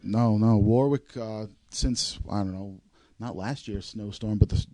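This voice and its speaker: A man's voice: lowish voice, a little slow, dark tone, no room sound. A man co-hosting a podcast, chatting loosely and joking, swearing casually as he talks. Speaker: podcast co-host.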